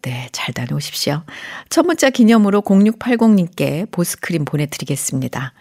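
A woman hosting a morning radio show, chatting gently with listeners, reading their messages and sometimes dropping to a whisper. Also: on the low side, big pitch swings, brisk, breathy edge. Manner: soft, gentle and chatty, often drops to a whisper and laughs lightly. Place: radio studio.

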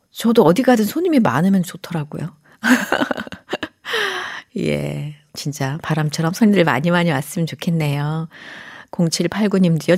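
A woman hosting a morning radio show, chatting gently with listeners, reading their messages and sometimes dropping to a whisper. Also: lowish voice, gravelly. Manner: soft, gentle and chatty, often drops to a whisper and laughs lightly. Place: radio studio.

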